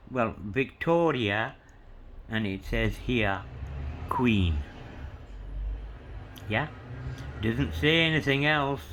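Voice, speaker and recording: Slow, rambling man showing coins. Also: medium pitch, a bit bright, a little hiss or hum, poor audio. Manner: meandering, full of "you know" and "yeah", reads inscriptions letter by letter. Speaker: coin collector showing coins. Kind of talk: rambling show-and-tell to camera.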